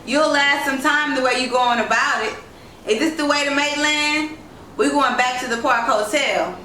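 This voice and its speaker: A woman voicing a jovial gentleman motorist who teases, in a storybook reading. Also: sits high, moderate pace, pitched above the performer's own voice. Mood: teasing. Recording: roomy, distant, noisy home recording.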